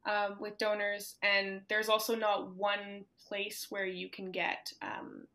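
A woman's voice: medium pitch, sharp tone. A teenage girl answering interview questions over a video call from home, upbeat and giggly with lots of ums. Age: teens. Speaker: student entrepreneur guest. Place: home room with closet doors. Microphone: laptop webcam on video call.

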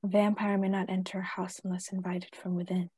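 A woman playing a grave, authoritative vampire-hunting professor in a radio play, formal and deliberate, with a husky voice. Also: lowish voice, flat delivery, warm tone, no room sound. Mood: grave explaining. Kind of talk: theatrical radio play acting.

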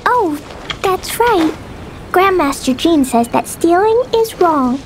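A woman's voice: extremely high pitch, expressive, takes it slowly, a little hiss or hum, exaggerated voice. A woman voicing a cute, innocent, cheerful little girl in a video game, with a sweet childlike manner. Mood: innocent curiosity. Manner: childlike, refers to herself by name, sweet. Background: game music.

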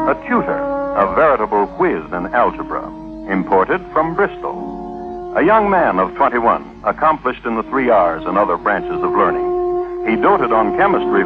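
A man playing a wry true crime storyteller, narrating a scripted 1950s radio drama with deliberate phrasing. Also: medium pitch. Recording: tinny 1950s radio broadcast with music. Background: music.

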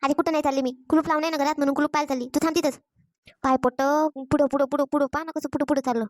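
A woman's voice: high voice, fairly even intonation, racing, dry recording, clean studio sound, lightly acted. A woman voicing an exasperated, scolding village mother in a cartoon, with a rural Vidarbha Maharashtrian accent and a repetitive, complaining manner. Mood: fretting, nagging. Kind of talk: Marathi cartoon comedy voice acting.

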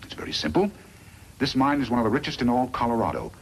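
An actor playing a scheming, smug, greedy mine manager in a 1950s western radio drama, on a noisy old recording. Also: male voice, mid-range voice, big pitch swings, quick pace. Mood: smug menace.

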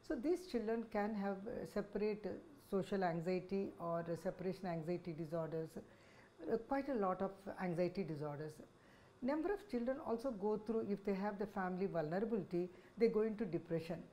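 A woman in her sixties with an Indian accent, speaking as a senior psychiatrist in a measured, explanatory talk to camera. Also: medium pitch, dark tone, a little hiss or hum, rough recording. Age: 60s. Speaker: senior child psychiatrist, head of department. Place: small office, indoors. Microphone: lapel microphone.

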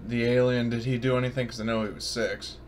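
A man's voice: mid-range voice, level tone, fast. Male player, mid-range voice, casual, mulling aloud, faintly noisy chat audio. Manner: thinking aloud. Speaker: player in a tabletop game. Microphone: online voice chat. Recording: background noise on voice chat.

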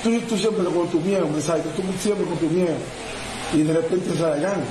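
A man's voice: a little high, steady tone, normal speed. A man in his thirties with a Dominican Spanish accent, lying injured in a hospital bed and telling a reporter what happened, repeating words and restarting often. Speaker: interviewee. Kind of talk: rambling account to a reporter. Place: hospital room, indoors. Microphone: phone held close to the face. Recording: roomy with loud background noise.

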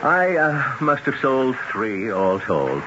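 An actor playing an irritated, talkative gun dealer with brash, slangy speech in a 1970s radio drama. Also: male voice, mid-range voice, wildly swooping pitch, slow pace. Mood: brash, boastful. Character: irritated, talkative gun shop owner, a man. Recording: muffled, noisy 1970s radio recording.

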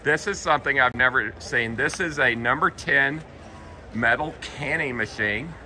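A man's voice: medium pitch, moderate pace, sharp tone. A man casually interviewing people as the host of an expo vlog. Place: large indoor trade show hall. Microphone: handheld camera mic, speaker behind camera. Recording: noisy crowded expo hall.

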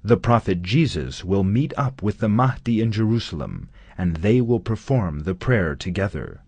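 A man narrating a scripted documentary in a measured, solemn studio voice-over. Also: fairly low pitch, sing-song, a little mellow, husky, dry recording.

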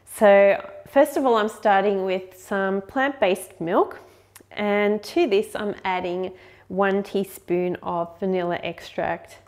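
A woman's voice: medium pitch, slow, a little mellow. Upbeat woman hosting a home cooking demo. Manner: upbeat, chatty, explains step by step. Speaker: cooking show host. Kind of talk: friendly recipe demonstration. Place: home kitchen, indoors.

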